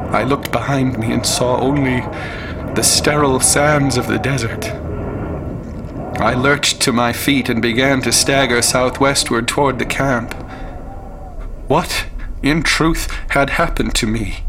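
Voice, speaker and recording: An actor playing a haunted, traumatized scholar recounting cosmic horrors in an intense, literary radio drama narration. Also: male voice, mid-range voice, noisy background, close to an everyday voice. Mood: weary, shaken, then anguished questioning. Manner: intense, literary, theatrical delivery with brooding hushed passages. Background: music.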